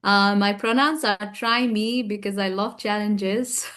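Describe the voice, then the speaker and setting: A woman's voice: medium pitch, fairly even intonation, unhurried, a bit bright, clean tone. A woman with a Nepali accent introducing herself informally on an online panel, in loose, hesitant phrasing. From Kathmandu, Nepal. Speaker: guest panelist, engineer and entrepreneur. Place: online video call.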